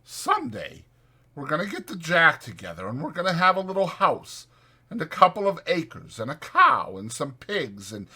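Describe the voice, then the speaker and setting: Man acting a raised-pitch, bossy ranch drifter. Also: wildly swooping pitch, bright, forceful tone, husky, heavily acted. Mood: dreamy, animated. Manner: brisk, insistent, colloquial delivery. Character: small, sharp, irritable male ranch drifter, bossy yet protective.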